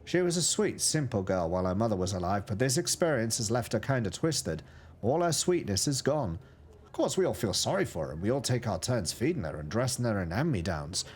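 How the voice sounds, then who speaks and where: A man's voice: on the low side, big pitch swings, brisk, slight background noise. A man voicing a plump, kindly middle-aged greengrocer woman who gossips with a put-on accent, in a storybook reading. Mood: gossipy. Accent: a put-on regional accent. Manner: gossipy, sighing, chatty.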